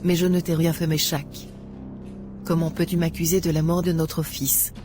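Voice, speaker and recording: A woman playing a meek, wounded young wife who pleads plaintively in an animated moral drama. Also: sits low, moderate pace, over music, natural voice. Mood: distressed.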